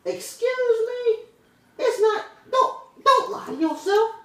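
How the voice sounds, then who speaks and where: Woman playing a very high-pitched, hot-tempered young woman. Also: expressive, slow pace, heavily acted. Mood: taunting. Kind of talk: amateur puppet show skit. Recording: roomy, echoey amateur indoor recording.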